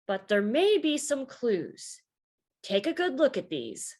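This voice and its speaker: A woman voicing a patient, encouraging male schoolteacher in a children's storybook, instructive and measured. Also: fairly high pitch, huge pitch swings, darkish tone, voice pushed up, full character voice. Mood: patient, explaining.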